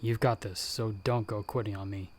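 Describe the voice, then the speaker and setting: A man playing a battle-hardened werewolf hunter in an ASMR audio roleplay, speaking directly to the listener in a measured, dryly understated way. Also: lowish voice, steady tone, close to an everyday voice. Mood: urgent, encouraging. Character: battle-hardened male werewolf hunter with healing magic, calm mentor.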